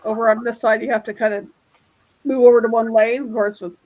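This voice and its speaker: Chatty woman with a fairly high voice, rambling through stories; muffled, lo-fi video-call sound. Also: steady tone, a little fast, darkish tone. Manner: rambling storyteller, laughs often. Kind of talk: casual group chat.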